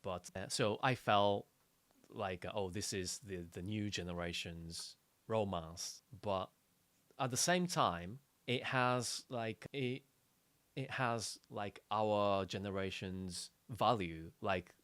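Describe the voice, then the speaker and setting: Low-voiced man chatting haltingly. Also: a bit bright, clean tone, no echo. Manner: halting, searching for words, repeats phrases. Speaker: podcast co-host. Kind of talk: casual unscripted chat.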